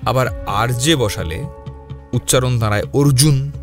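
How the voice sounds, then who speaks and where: A man playing a commanding male detective in an audio thriller, deliberate and probing in the way he explains and questions. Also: mid-range voice, natural voice. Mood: intent, probing. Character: sharp, commanding male detective leading a murder case. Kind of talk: Bengali audio detective story acting. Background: music.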